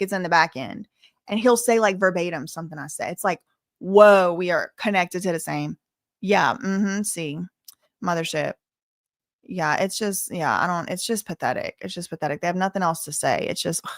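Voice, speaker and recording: A woman's voice: mid-range voice, fairly bright tone, dry recording, clean studio sound. An American woman hosting a casual live stream, chatting with viewers in a colloquial, sassy way. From Louisiana, United States. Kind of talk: casual live chat reading and answering viewer messages.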